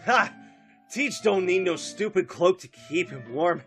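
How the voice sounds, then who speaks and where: A man's voice: sits high, animated, measured pace, a bit bright, faint music behind, heavily acted. A man playing a boastful, dim, muscle-proud warrior with cocky, slangy bluster in a fan audio drama. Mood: cocky boasting.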